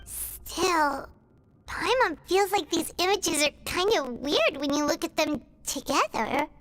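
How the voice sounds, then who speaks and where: A woman voicing a small, childlike fairy companion girl in a video game, naive and excitable, with a squeaky voice. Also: slow, full character voice. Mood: uneasy. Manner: refers to self in third person, chirpy. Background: music.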